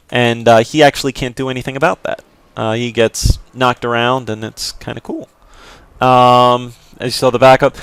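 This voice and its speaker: A man talking casually over his gameplay, explaining what his abilities do and often restarting his sentences. His voice is smooth and resonant at an ordinary middle pitch. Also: fairly bright tone, no room sound. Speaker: gameplay commentator. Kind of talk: casual let's-play commentary.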